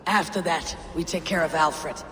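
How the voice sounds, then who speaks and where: An actor playing a stern, blunt Viking warrior leader who speaks grimly and firmly, in a video game. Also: male voice, fairly high pitch, fairly even intonation, moderate pace, in a large hall, natural voice. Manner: grim, firm.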